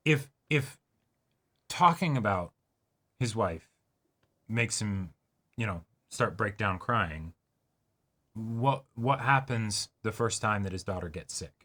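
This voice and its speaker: A man's voice: lowish voice, expressive, slow, pauses often, bright, forceful tone. A man co-hosting a reaction show, discussing things in a casual, conversational way. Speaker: co-host of an anime reaction show.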